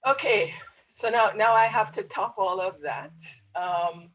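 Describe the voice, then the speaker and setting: A woman's voice: medium pitch, unhurried, a bit bright, muffled recording. A woman from Jamaica with a Jamaican accent, a professor explaining things to students in a webinar in hesitant, piecemeal phrases. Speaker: university chemistry professor on a panel.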